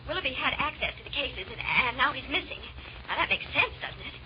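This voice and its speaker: A nervous stammery secretary, talks fast, old radio drama, noisy muffled sound. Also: female voice, high voice, lively intonation. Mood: anxious. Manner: hesitant, stammering. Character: flustered, earnest company secretary woman. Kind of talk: 1940s radio acting.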